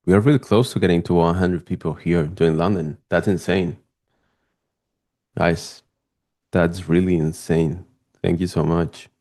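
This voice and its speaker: A young man from Spain, speaking English with a Spanish accent, talks through live trades on a stream. His voice sits very low. He stays casual and chatty with viewers and gets excitable. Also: a little slow, pauses often. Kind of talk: live market commentary.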